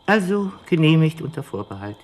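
An actor in a radio drama playing a fussy, evasive bureaucrat who hems and stammers officiously in a gravelly, husky voice. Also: male voice, fairly high pitch, big pitch swings, a little fast, a little hiss or hum. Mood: evasive, placating. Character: fussy evasive male bureaucrat, mild but unyielding.

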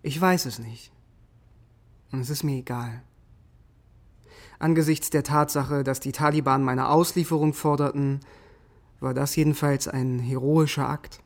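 A man's voice: mid-range voice, steady tone, measured pace, long pauses, no echo, close to an everyday voice. A man acting in a radio drama as an embittered, defiant German army sergeant who narrates his own fate with clipped intensity. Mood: cold, sardonic. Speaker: lead actor in radio drama. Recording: music behind the voice.

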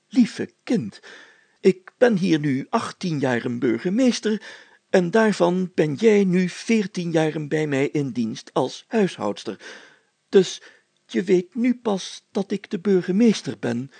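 A man's voice: high-pitched, sing-song, gravelly, mellow, breathy edge, no echo, voice pushed up, exaggerated voice. A man voicing a pompous, fatherly and easily flustered village mayor in a storybook, stammering and drawing out his phrases. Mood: indignant. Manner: stammering, deliberate, drawn-out phrasing.

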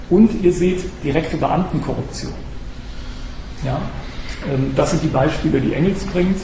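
A slightly hoarse man giving an academic lecture, speaking straight to his audience with frequent hesitation sounds and restarts. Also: a little high. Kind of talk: academic lecture on political theory. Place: lecture room. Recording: echoey room recording with background noise.

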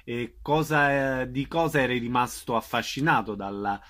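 A man's voice: medium pitch, normal speed, fairly bright tone. A man working as an interviewer, putting questions in a conversational way.

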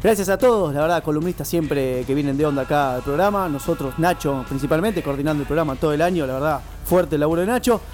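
A man with a Rioplatense Spanish accent hosting a casual radio chat, quick and laughing as he wraps up the show. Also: on the high side, a little mellow, soft background music. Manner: quick, laughing, upbeat wrap-up. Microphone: studio microphone.

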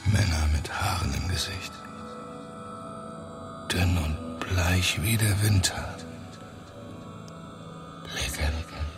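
Slow, deep, creaky dreaming hunter. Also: male voice. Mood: hushed awe. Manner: hushed, breathy, half-whispered murmur. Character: Inuit hunter's dreamy inner voice, awed and drowsy. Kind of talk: radio drama acting. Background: music.

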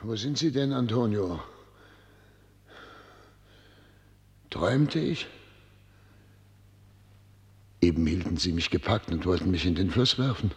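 An actor playing a troubled, grandiose head of state in a theatrical German radio drama, declaiming with weighty pauses. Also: male voice, on the low side, lively intonation. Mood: bewildered, lost. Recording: echoing hall with long reverb.

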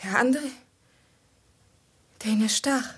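High, very breathy, pleading princess. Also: female voice, takes it slowly, exaggerated voice. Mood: defensive, urgent. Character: pleading, anxious princess, tender and evasive. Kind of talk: German radio play acting.

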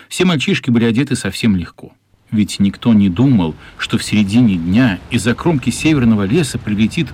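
Husky, expressive male storyteller. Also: medium pitch. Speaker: narrator of a children's radio play. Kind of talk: scripted literary storytelling for children.

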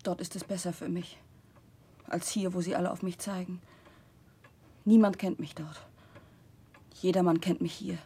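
An actress playing an ashamed, miserable young outcast in a 1960s German radio drama, speaking with a bitter, broken, pleading manner. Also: female voice, lowish voice, fairly even intonation, moderate pace, pauses often, mellow, husky. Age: young adult.

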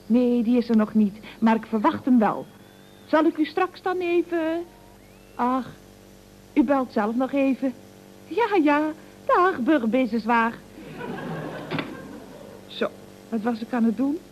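An actress playing a cheeky, dry-witted office receptionist in radio comedy, with a mock-formal, teasing manner. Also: female voice, high-pitched, lively intonation, takes it slowly, pauses often, slight background noise. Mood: mock-polite, teasing. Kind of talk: 1970s Dutch radio comedy acting. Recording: dull old radio recording.

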